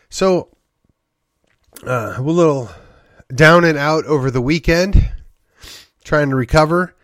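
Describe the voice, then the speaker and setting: A man's voice: mid-range voice, no echo, studio quality. A man hosting a solo political commentary podcast, congested from a sinus infection, rambling and wry with sighs and short laughs. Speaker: podcast host.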